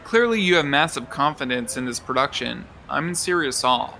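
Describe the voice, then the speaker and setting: An actor playing an effusive, arch theater gossip reporter in an audio drama, gushing like a red-carpet commentator. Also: male voice, medium pitch, lively intonation, normal speed, gravelly, sharp tone, slight background noise. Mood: fawning, arch. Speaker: entertainment reporter.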